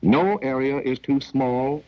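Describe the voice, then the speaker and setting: A man's voice: mid-range voice, slow, natural voice. An American man acting as a stern, sardonic narrator of a scripted 1940s training film, clipped and dramatic, addressing a captured agent. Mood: methodical, wry. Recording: dull, muffled 1940s film soundtrack.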